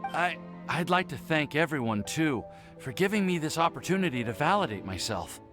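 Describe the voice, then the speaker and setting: A bright, humble honest young man. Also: on the high side, very animated, normal speed, faint music behind. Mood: sincere. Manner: modest, stumbling, sincere.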